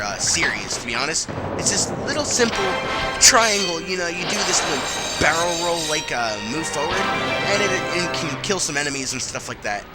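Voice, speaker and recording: A man's voice: a little high, rough recording. A man with a nasal, under-the-weather voice hosting a casual gameplay commentary, rambling with lots of fillers. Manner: rambling with many fillers and restarts. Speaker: let's play host. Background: game music.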